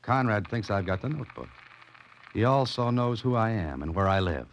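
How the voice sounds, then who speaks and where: A man's voice: lowish voice, animated, normal speed. An American man playing a tough, wry adventurer-sleuth in a 1940s radio suspense drama, with a clipped, insistent delivery. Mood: wry, pressing. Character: tough, wry male adventurer-sleuth, narrating and pressing for answers. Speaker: lead actor and narrator. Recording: noisy, muffled vintage 1940s radio recording.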